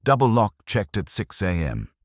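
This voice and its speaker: A man's voice: lowish voice, wildly swooping pitch, moderate pace, no echo, clean studio sound, pitched above the performer's own voice. A man voicing a dutiful, matter-of-fact police sergeant in an audio drama, reporting plainly.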